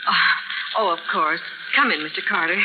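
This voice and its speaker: A woman's voice: fairly low pitch, moderate pace, close to an everyday voice. An actress playing a polite, matter-of-fact woman business owner in a 1940s radio drama, explaining things plainly. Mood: polite, businesslike. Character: businesslike woman who owns a spider web business. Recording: muffled, noisy 1940s radio recording.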